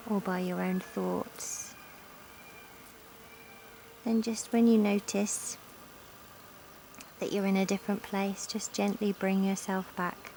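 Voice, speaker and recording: A woman guides a relaxation meditation in a calm, gentle voice. Her delivery is very slow and even, settling into a soft creak at times. She is recorded outside in a garden, with insects and nature sounds clearly audible around her. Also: mid-range voice, slight background noise. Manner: calm, soothing, gentle instructional delivery with long pauses. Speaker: yoga nidra instructor. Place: outdoors in a garden. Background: insects, sounds of a sunny garden.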